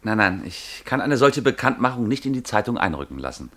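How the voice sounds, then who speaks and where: A newspaper clerk in a radio drama, polite but immovable. His low voice moves with lively inflection through fussy, deliberate, matter-of-fact phrases. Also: full character voice. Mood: dismissive, explanatory. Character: stolid, fussy male newspaper advertisement clerk, politely dismissive. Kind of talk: German radio play acting.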